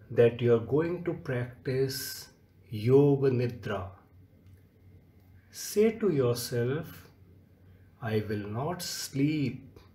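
Male meditation guide, extremely slow delivery with long pauses between short phrases, soft-edged mellow tone, roomy echo. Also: medium pitch. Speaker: yoga nidra instructor. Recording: echoey, roomy sound.

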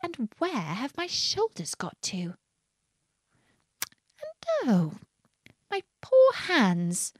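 A woman voicing a polite, bewildered little girl in a storybook, earnest and quick to protest. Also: high-pitched, big pitch swings, no echo, studio quality, pitched above the performer's own voice, heavily acted. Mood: bewildered dismay. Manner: plaintive, earnest, hesitant. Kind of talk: storybook character reading.

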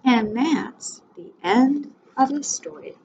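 A middle-aged woman teacher reading a storybook aloud to young children, expressive and addressing them directly. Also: high-pitched, takes it slowly. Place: small indoor room with whiteboard. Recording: dull sound, faint background noise.

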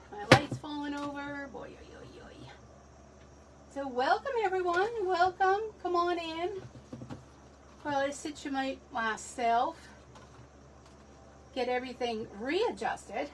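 A middle-aged woman losing her voice, hosting a live craft stream from her home desk in a chatty, rambling, self-deprecating way. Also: high-pitched. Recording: distant from the mic, faint room noise.

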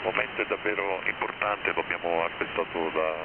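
A man working as an amateur radio operator in an unscripted radio contact, speaking deliberately and spelling out call signs. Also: deep voice, fairly even intonation. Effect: radio transmission. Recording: narrowband satellite radio link, heavy hiss.

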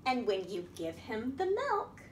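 A middle-aged woman reading a picture book aloud as a children's storytime host, expressive and sing-song, talking directly to young children. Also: on the high side, unhurried. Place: indoor room at a wooden table by a window. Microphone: camera across the table. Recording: echoey room with background noise.

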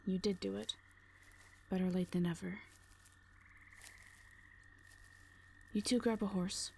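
A woman voices a commanding female orc mercenary in an audio roleplay. The voice sits at a middle pitch, calm and reassuring, with short, plain, measured phrases. There is faint forest noise behind. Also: close to an everyday voice. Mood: kindly, firm. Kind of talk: audio roleplay drama acting.

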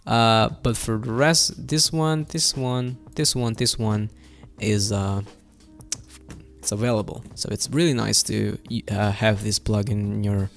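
A young man giving an informal, easygoing and enthusiastic software tutorial, speaking close into a desk microphone. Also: lowish voice, fairly even intonation, measured pace, creaky, faint music behind. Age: young adult. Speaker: tutorial host. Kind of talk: casual screen-recorded software tutorial. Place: home bedroom setup indoors. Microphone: close desk microphone on boom arm.

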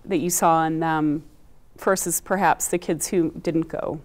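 A woman's voice: low voice, steady tone, a little slow. Polite middle-aged woman hosting a panel. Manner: clear, polite interviewer. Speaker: host. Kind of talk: moderating a studio panel. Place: TV studio set. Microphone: lapel mic.

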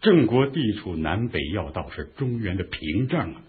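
Wise scheming ancient minister, real raspy low voice, measured, radio drama, lo-fi audio. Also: male voice, sing-song, full character voice. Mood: firm. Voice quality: husky. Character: shrewd, measured chief minister advising his lord. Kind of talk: historical radio drama acting. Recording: dull, lo-fi radio drama recording.

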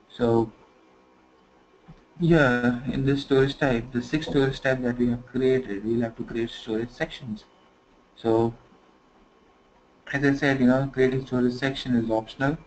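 A man teaching software configuration in a screen-recorded tutorial, talking conversationally and filling pauses with "you know". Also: mid-range voice. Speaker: software trainer. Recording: slightly dull lo-fi screen recording with faint noise.

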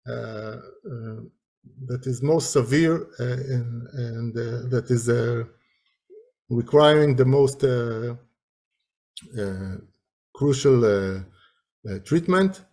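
A man giving a webinar presentation on a video call in a halting, hesitant manner. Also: medium pitch, warm tone.

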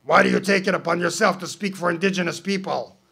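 A skeptical male pirate who asks dry, pointed questions, voiced by a man reading a poem. It is close to the reader's own high, gravelly voice. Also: quick pace, bright, forceful tone, exaggerated voice. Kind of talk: poetry reading, acted dialogue.

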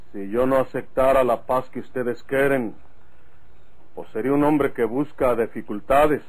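An actor playing a dignified bandit with a Mexican accent in an old radio drama, speaking solemnly and deliberately. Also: male voice, medium pitch, low-quality recording. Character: dignified, measured Mexican bandit seeking peace.

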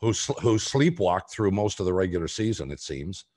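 An older man hosting a sports podcast from a home room, giving opinionated talk in a deliberate, halting way with pointed pauses. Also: deep voice, big pitch swings, a little mellow, husky. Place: home room on a video call.

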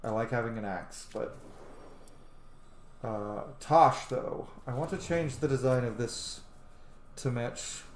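A man's voice: medium pitch, slow pace, pauses often. A man in his thirties thinking aloud in casual commentary while he plays a video game. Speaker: gaming streamer. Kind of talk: casual let's-play commentary. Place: indoor room at home. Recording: roomy sound with faint background noise.

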